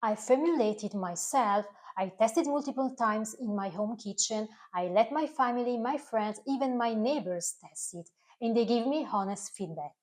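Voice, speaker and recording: A woman from Italy whose first language is Italian, speaking English with an Italian accent straight to camera as the creator of a food channel, in an earnest, careful way with slightly halting phrasing. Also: a little high, lively intonation, a little mellow.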